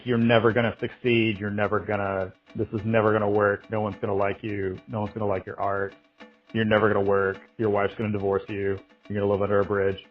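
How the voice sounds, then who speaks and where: A man in his late thirties with a low, husky voice, talking slowly and candidly in an interview. He stops and restarts his thoughts as he goes. The sound is thin and phone-like. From United States. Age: 30s. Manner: halting, reflective, many restarts and fillers. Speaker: interview guest, artist.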